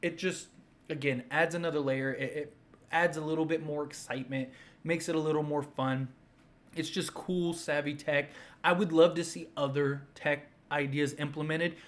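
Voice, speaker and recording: A man's voice: a little high, fairly even intonation, normal speed. A man giving casual, unscripted opinion commentary as a fan host, enthusiastic and emphatic, rambling and circling back on his points.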